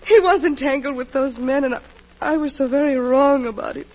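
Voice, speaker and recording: Actress as anxious, halting sister, muffled old radio. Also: female voice, sits high, lightly acted. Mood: contrite, pleading. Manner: halting, repeats words, earnest. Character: anxious, contrite sister of the president. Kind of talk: 1940s radio drama acting. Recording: muffled vintage radio broadcast.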